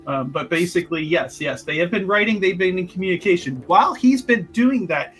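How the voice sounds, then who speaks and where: A man's voice: high voice, lively intonation, brisk, a bit bright, slightly rough, soft background music. A man playing a tabletop game, casually recapping what his character has been up to, often stopping to search for words and restarting.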